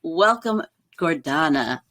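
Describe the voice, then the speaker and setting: Cheerful woman host over 40, lower pitch, laughs a lot. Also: expressive, slow pace. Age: 40s or older. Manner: warm, enthusiastic interviewer who laughs easily. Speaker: podcast host. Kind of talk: interview podcast.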